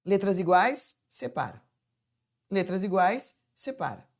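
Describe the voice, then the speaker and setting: A woman teaching a chatty, informal grammar lesson from home, stretching words out slowly to demonstrate how they sound. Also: medium pitch, sing-song. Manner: chatty, addresses viewers as "gente". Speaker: Portuguese teacher.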